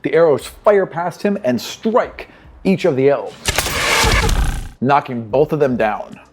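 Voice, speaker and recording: A man's voice: a little high, big pitch swings, slow pace, background noise. A man narrating a tabletop game in a descriptive storytelling manner.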